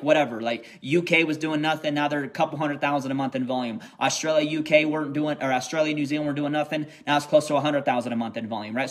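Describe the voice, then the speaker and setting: A man with a somewhat high voice delivers a rallying motivational talk to his sales team. He repeats phrases and keeps tossing in quick check-ins as he talks. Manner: repetitive, filler-heavy, keeps checking in with "right?" and "okay?". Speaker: company leader addressing team.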